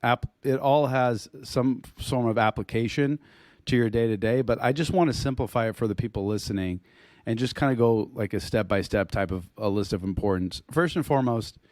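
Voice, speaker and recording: A man co-hosting a podcast in casual chat, his voice hoarse as he loses it, talking in a rambling way with restarts and throat clearing. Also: mid-range voice, fairly quick, a little mellow, no room sound, studio quality. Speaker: podcast co-host.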